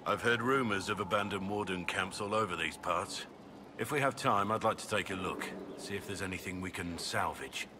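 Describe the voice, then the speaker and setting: An actor playing a grave, weary, duty-bound veteran warrior in a video game, speaking in an earnest, formal, measured way. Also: male voice, deep voice, background noise, lightly acted. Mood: sober. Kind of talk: dramatic video game dialogue.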